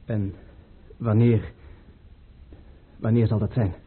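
An actor playing a young, earnest and anxious prince caught up in a conspiracy, speaking formally in a radio drama. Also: male voice, lowish voice, fairly even intonation, slow pace, slight background noise. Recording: muffled old radio play recording.

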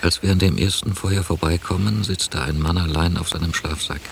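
An actor narrating a radio drama as a traveler, measured and matter-of-fact in the first person. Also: male voice, low voice, fairly even intonation, creaky. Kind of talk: scripted present-tense radio drama narration. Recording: noisy cassette tape of radio play.

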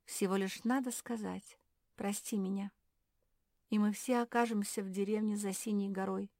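A woman voicing a gentle female dog in a children's story, hushed, earnest and coaxing. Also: mid-range voice, little pitch movement, a little fast, dry recording, clean studio sound, pitched above the performer's own voice, natural voice. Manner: whispery, coaxing.

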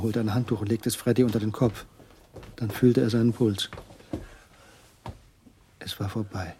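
A man's voice: on the low side, mellow, slight background noise, natural voice. A man performing as the third-person narrator of a German crime radio drama, with scripted, tense storytelling. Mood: matter-of-fact.

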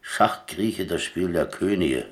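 An actor playing a blunt, wry boat captain in prison in a radio play, speaking in a laconic, colloquial, teasing way. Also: male voice, sits low, lively intonation, slow, exaggerated voice. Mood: matter-of-fact, proud. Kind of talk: radio drama acting.

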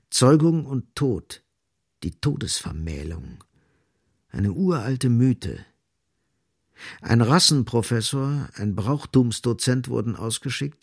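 A man narrating a literary radio drama gravely, in short, emphatic phrases. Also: medium pitch.

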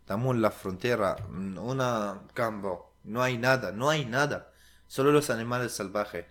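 A young man from Syria whose first language is Arabic talks in slow, broken Spanish with an Arabic accent. His voice sits at an ordinary male pitch. He often stops mid-word and restarts as he searches for the right term. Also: a bit bright. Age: young adult. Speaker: guest. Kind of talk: interview, telling his story.

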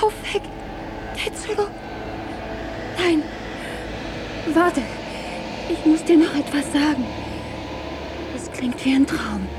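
A woman's voice: sits high, expressive, takes it slowly, pauses often, noisy background. A woman acting as a loving, protective mother in a German radio play. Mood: alarmed, then dying, urgent.

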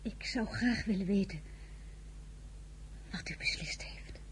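An actress playing a brave, earnest young woman in a radio play, breathy, anxious but stubborn, with a Dutch accent. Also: mid-range voice, slow, whispered, close to an everyday voice.

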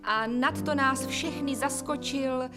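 A woman's voice: high-pitched, natural voice. A woman playing a grand cabaret chanteuse in a radio drama, declaiming in a gushing, theatrical way. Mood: grandly solemn. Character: grand female cabaret chanteuse, showily patriotic. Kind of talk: theatrical cabaret announcing and singing. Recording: loud music behind.

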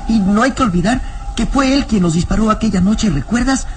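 A woman's voice: mid-range voice, animated, a little fast, slight background noise, lightly acted. A woman acting a loyal, wary young boy companion in an old radio serial, earnest and deferential.

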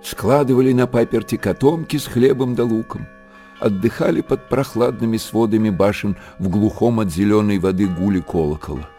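An actor playing the storyteller of an old monastery tale in a radio drama, narrating in an expressive literary manner. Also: male voice, on the low side, normal speed, soft background music, natural voice. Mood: lyrical.

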